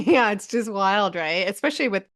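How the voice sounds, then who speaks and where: A woman in her forties hosting a casual interview, enthusiastic and affirming, often ending remarks with a quick "right?". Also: medium pitch, expressive. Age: 40s. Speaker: host.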